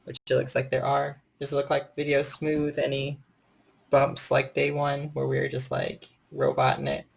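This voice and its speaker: A man's voice: medium pitch, completely flat delivery, moderate pace, vocal fry, slightly rough. A man chatting casually as the host and storyteller of a livestream. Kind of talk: casual livestream hosting chat.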